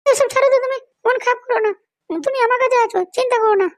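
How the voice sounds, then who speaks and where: A woman's voice: very high-pitched, very fast, no echo, clean studio sound. A woman voicing a soothing, reassuring helper in a comedy cartoon, with consoling words. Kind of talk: amateur comedy cartoon dialogue.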